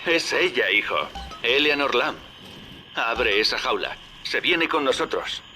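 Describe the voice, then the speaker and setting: A man's voice: medium pitch, expressive, unhurried, over music, natural voice, rough recording. An actor with a Castilian Spanish accent playing a folksy, wry schemer who guides a younger man in a video game dub. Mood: urgent, hushed. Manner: familiar, wry, directing.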